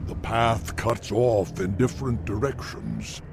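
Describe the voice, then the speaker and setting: An actor playing a huge, wise old tauren chieftain in a video game, solemn, protective and gravelly. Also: male voice, mid-range voice, fairly even intonation, slow, music in the background, lightly acted. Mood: grave caution. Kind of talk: video game cutscene acting.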